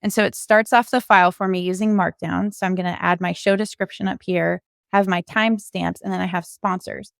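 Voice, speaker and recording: A woman's voice: lowish voice, fairly even intonation, brisk, vocal fry, hardly any pauses, smooth, no room sound, clean studio sound. A woman giving an informal screen-share coding walkthrough, explaining things step by step as a podcast co-host.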